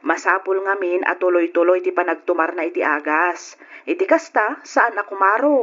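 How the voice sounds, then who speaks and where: A woman's voice: fairly low pitch, normal speed, fairly bright tone. A woman playing a supportive, advising younger sister in a radio drama, with an Ilocano accent. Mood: sympathetic. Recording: muffled old radio recording.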